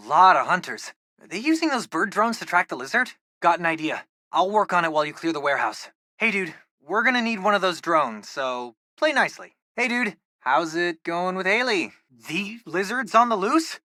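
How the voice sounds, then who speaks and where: A man's voice: high-pitched, bright, forceful tone, no room sound, clean studio sound. A young American man voicing an eager, geeky teenage boy, a loyal tech sidekick in a video game, informal and earnest. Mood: casual, friendly.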